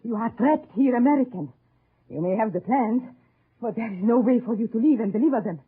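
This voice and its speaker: A fierce, bitter mother in a vintage radio play. Her voice is very husky and rough, and she spits out her words with melodramatic vehemence. The recording is narrow and phone-like. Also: fairly high pitch, expressive, a little fast. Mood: urgent, scornful. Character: bitter, fierce French peasant mother, middle-aged resistance fighter. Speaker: actor. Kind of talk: old-time radio drama acting. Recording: thin, tinny old radio broadcast.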